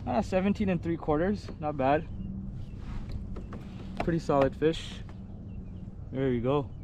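Noisy outdoor sound with water and kayak noise surrounds a slightly high-voiced man. He hosts a fishing vlog, talking casually to the camera in short, colloquial remarks as he fishes. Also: unhurried.

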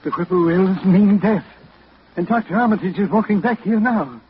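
Male actor as an awestruck eyewitness doctor, very high pitch, 1940s radio-play delivery, dull and hissy. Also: moderate pace, natural voice. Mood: shaken. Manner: breathless eyewitness report, awestruck. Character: shaken male doctor reporting at a microphone. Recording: muffled old radio broadcast with hiss.

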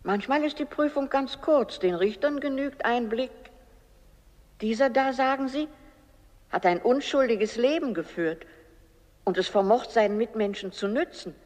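A woman's voice: fairly high pitch, expressive. Kindly old woman in a reverberant hall. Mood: patient, explaining. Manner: gentle, measured, plain-spoken. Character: kindly, patient, humble old woman among the dead. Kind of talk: German radio play acting.

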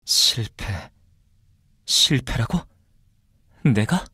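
A courteous young man from a mobile game, speaks slowly and softly in a very dry, close recording. He is fastidious and sweetly possessive, measuring each phrase with intimate care. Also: medium pitch, lively intonation, breathy edge, no room sound, heavily acted. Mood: cool, displeased. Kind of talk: mobile game character voice lines.

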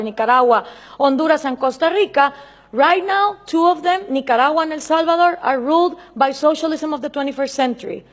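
A high-voiced woman from Central America speaks English with a Central American Spanish accent. She delivers a political speech in an emphatic, insistent way, gesturing a lot as she talks. She is recorded on a table microphone in a large conference hall. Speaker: invited speaker.

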